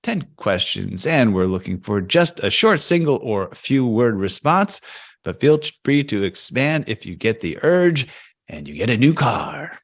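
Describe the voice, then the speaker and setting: A man's voice: mid-range voice, very animated, unhurried. Playful male podcast host. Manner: playful, jokey. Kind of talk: casual chat.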